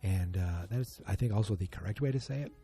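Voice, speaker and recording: A man's voice: low voice, fast, dark tone, faint music behind. A man hosting a solo podcast, chatting casually in a rambling, self-deprecating, joking way. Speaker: podcast host.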